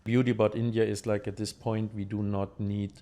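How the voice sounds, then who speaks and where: Podcast guest: German-accented man, low relaxed voice, halting conversational explainer who checks that the listener follows.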